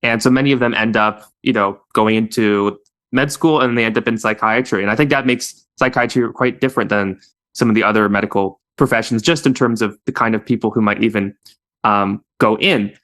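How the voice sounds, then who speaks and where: A man hosting a podcast, asking a guest measured, curious questions. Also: fairly low pitch, steady tone, a bit bright. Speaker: podcast host. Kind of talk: podcast interview.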